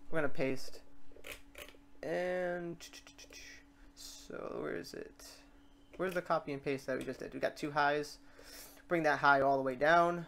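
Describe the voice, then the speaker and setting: A tutorial host talks through software steps in a voice of middling pitch. The delivery is informal and rambling, with the speaker thinking aloud while working on screen. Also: creaky. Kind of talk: casual software tutorial narration. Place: voice-over for a screen recording.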